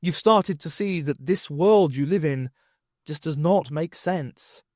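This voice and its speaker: A man voicing a sharp, inquisitive woman adventurer who questions things emphatically. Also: on the high side, slow pace, dry recording, clean studio sound. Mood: urgent, emphatic.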